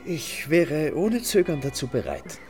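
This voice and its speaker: A man playing a modest, courteous composer in a German radio play, speaking politely and a little haltingly. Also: fairly high pitch, wildly swooping pitch, darkish tone, faint music behind. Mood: obliging. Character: composer and maestro, courteous, modest, obliging host of his music.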